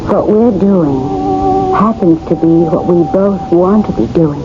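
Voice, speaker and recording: An actress playing a cool, teasing mistress with a languid, wry manner in a 1970s radio drama. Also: female voice, mid-range voice, huge pitch swings, takes it slowly. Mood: cool, coaxing. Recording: muffled 1970s radio broadcast over music.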